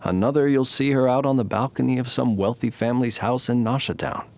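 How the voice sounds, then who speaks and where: A male actor plays a courtly, enigmatic knight from a fantasy video game, with a mid-pitched voice. He speaks formally and eloquently, with the modest, old-fashioned manners of a gentleman who keeps watch with his lamp. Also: brisk, creaky, close to an everyday voice. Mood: reflective, courteous. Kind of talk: scripted game character voice lines.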